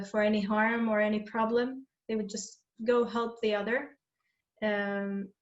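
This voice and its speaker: A woman from Palestine speaks in a flat, measured way, pausing often with fillers as she thinks aloud. Her voice comes over a dull, echoey video call line. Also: mid-range voice. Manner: thoughtful, halting with fillers. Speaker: panel guest. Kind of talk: panel discussion answer.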